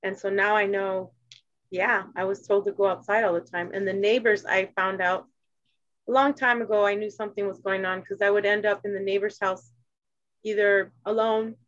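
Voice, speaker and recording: A woman from Arizona, a guest in a casual talk, telling her story in a halting way with many restarts. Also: medium pitch, no room sound. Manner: halting, restarts, animated when quoting others. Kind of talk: casual personal conversation. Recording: dry, dull lo-fi sound.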